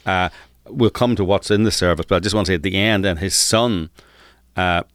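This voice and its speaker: A man's voice: low-pitched, brisk, a bit bright, smooth, no echo, clean studio sound. A man co-hosting a podcast, telling stories casually and explaining as he goes, repeating words for emphasis. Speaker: podcast co-host. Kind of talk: casual conversational storytelling.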